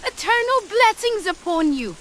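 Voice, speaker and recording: A woman's voice: very high voice, very animated, moderate pace, fairly bright tone, a little hiss or hum, exaggerated voice, low-quality recording. A woman voicing a young, melodramatic maiden in an old-time horror radio play, earnest and theatrical. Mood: rapturous.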